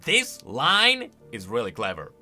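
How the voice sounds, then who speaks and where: A man giving casual, expressive commentary as a video host, thinking aloud as he goes. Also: medium pitch, measured pace, over music.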